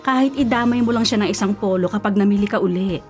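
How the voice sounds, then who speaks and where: An actress playing a caring, protective middle-aged mother in a Filipino radio drama, gently chiding in a Filipino accent. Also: mid-range voice, fast talker, soft background music, lightly acted. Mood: pointed, nagging. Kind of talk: Tagalog radio drama acting.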